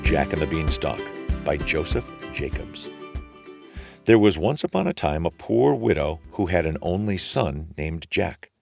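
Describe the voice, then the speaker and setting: A man narrating a fairy tale for children in an expressive, measured storytelling manner. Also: rumbling low, creaky, over music.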